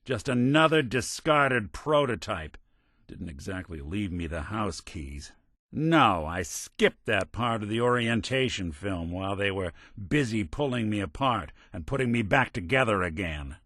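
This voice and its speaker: A man's voice: lowish voice, animated, a little slow, a bit bright, no echo, heavily acted. A man voicing a wry, world-weary old synthetic detective in a video game, with a hard-boiled American drawl and dry sarcasm. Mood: bitter, sardonic. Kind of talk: video game character acting, noir detective.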